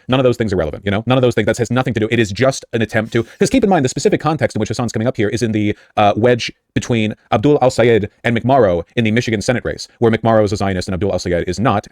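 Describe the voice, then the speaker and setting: Male political commentator, lowish voice, rapid-fire delivery, combative and sarcastic, arguing off the cuff. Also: dry recording, clean studio sound. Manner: emphatic, argumentative, sarcastic. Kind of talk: off-the-cuff political commentary reacting to a news clip.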